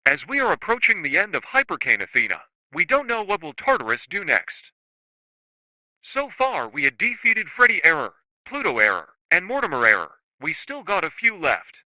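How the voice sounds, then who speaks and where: A man's voice: a little high, huge pitch swings, normal speed, pushed and bright, no echo, heavily acted, rough recording. A man playing an earnest young hero in an amateur web drama. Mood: grave, urgent. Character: earnest young male bomber hero leaving grave messages. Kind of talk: amateur web series voice acting.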